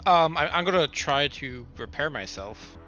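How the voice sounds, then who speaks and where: A man's voice: fairly high pitch, expressive, normal speed, sharp tone, dull audio, soft background music. A man chatting casually as a player in a tabletop roleplaying game.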